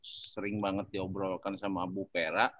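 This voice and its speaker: A man talking casually in a discussion, haltingly searching for words as he thinks aloud. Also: deep voice, little pitch movement, fairly bright tone, no room sound, muffled recording. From Indonesia. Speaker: panelist.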